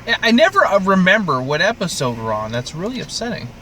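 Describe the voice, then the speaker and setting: A man's voice: sits high, big pitch swings, quick pace, pushed and bright, rough recording. A man co-hosting a podcast, joking and rambling through casual, sweary TV recap chat. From Canada. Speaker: podcast co-host. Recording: clear background noise.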